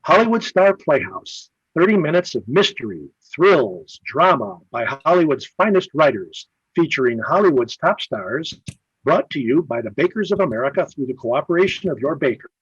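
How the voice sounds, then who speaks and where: A man's voice: a little high, sing-song, moderate pace, breathy edge. A man announcing in 1950s radio style, emphatic and polished like a sponsor's salesman. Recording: muffled, roomy old-radio style sound.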